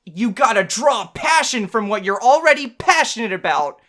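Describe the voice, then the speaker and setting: A woman voicing a brash, fiery fish-warrior woman who bosses and encourages loudly in a visual novel game. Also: expressive, moderate pace. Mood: bossy, encouraging. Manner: forceful, booming encouragement. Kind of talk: amateur visual novel voice acting.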